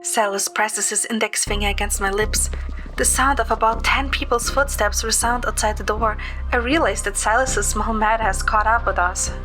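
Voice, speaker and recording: Higher-pitched woman narrating a story. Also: fairly even intonation, normal speed. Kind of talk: scripted first-person story narration. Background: music.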